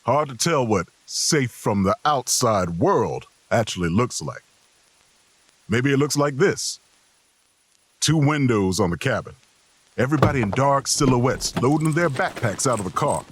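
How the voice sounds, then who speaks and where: A man playing a sick, weary traveler in an audio drama, narrating in a slow, wry, queasy way. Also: mid-range voice, slightly rough, dry recording. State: queasy, groaning. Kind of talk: first-person narration in audio fiction.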